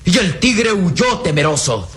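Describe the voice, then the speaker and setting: A man's voice: sits high, big pitch swings, measured pace, very noisy. Suspenseful radio serial narrator, man. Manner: suspenseful, declamatory. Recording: radio drama with loud music behind.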